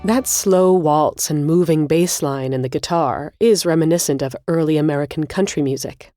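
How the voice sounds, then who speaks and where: A woman hosting a podcast, reading scripted narration in a measured, explanatory way. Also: sits low, warm tone, no echo, clean studio sound. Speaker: podcast host.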